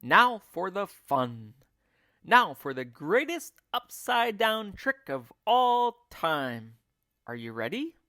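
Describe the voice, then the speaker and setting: A man's voice: high voice, big pitch swings, slow pace, sharp tone, dry recording, clean studio sound, voice pushed up, heavily acted. A man voicing a frantic, bossy monkey father in a children's storybook, urgent and commanding. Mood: excited, commanding. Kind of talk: children's storybook character reading.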